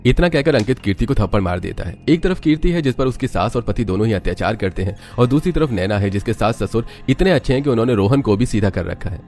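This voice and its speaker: A man narrates a scripted family story. His voice is a bit low and the delivery is fast, with light music underneath. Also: animated, darkish tone.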